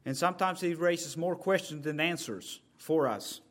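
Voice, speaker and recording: A man preaches a sermon as a visiting preacher. His voice sits a little high for a man. He talks earnestly, dropping low for personal remarks and rising loud and emphatic on scripture. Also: moderate pace. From El Salvador. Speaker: guest preacher.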